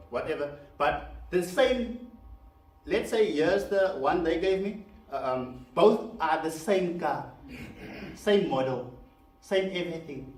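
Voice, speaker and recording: A man with a South African accent, Afrikaans his first language, giving a live seminar to a room, chatty with many fillers and questions to the audience. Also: fairly high pitch. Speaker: presenter. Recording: echoey room, mic far off, faint background noise.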